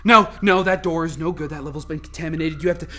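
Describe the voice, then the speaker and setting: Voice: mid-range voice, fast talker, fairly bright tone, lightly acted. A performer playing an urgent scientist in a radio play, intense and stammering under pressure while guiding a colleague through danger. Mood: urgent coaching.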